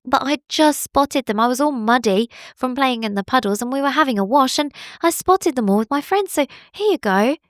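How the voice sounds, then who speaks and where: A woman voicing a helpful, eager little male bunny in a storybook tale, with a high, excited voice. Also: a little fast, few pauses, dry recording, clean studio sound. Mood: explaining. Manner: bright and excited.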